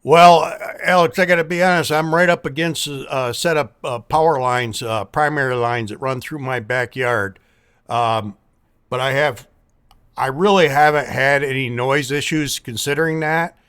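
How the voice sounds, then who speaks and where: Male amateur radio operator, medium pitch, casual, folksy, measured delivery. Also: a bit bright, clean tone. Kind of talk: casual ham radio contact.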